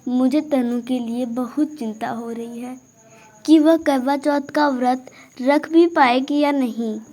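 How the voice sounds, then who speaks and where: Give me a woman playing a caring, anxious cartoon mother, high-pitched and unhurried. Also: darkish tone. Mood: worried. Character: caring, worried mother-in-law of a new bride.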